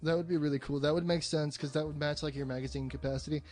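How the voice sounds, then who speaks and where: A man's voice: a little high, fairly even intonation, fast talker, darkish tone. A man chatting casually about films as a co-host, swearing freely and using plenty of slang.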